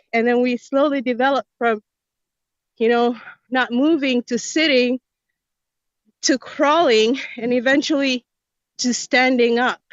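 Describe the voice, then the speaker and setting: A woman from Somalia sharing her thoughts in a group discussion, soft-spoken and measured. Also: a little high, no room sound. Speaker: panelist. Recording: muffled lo-fi audio.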